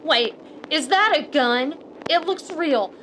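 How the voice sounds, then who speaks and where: A woman voicing a feisty, panicky young hero in a cartoon parody, shrill and emphatic. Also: expressive, slow, noisy background. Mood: alarmed. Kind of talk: cartoon parody audio drama.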